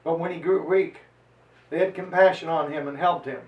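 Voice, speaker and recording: A man giving an emphatic, declamatory dramatized reading of a historical chronicle, stretching out phrases deliberately. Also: fairly high pitch, steady tone, muffled recording. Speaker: reader and lecturer on history. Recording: roomy echo, voice distant from microphone.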